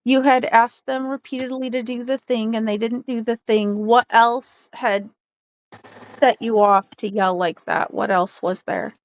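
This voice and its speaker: A woman on a tense phone call, calm and hesitant, asking probing questions. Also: on the high side, a little slow, dry recording. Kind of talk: tense personal conversation.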